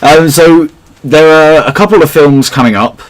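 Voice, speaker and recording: A man's voice: fairly high pitch, moderate pace, low-quality recording. A man hosting a casual pop culture podcast, talking like a keen fan with friends. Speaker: podcast host.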